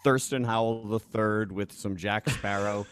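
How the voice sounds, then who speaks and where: A man's voice: lowish voice, fairly even intonation, measured pace, a crowd in the background. A man who acts as an amateur, chatting casually. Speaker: amateur actor in a script reading. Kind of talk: casual chat.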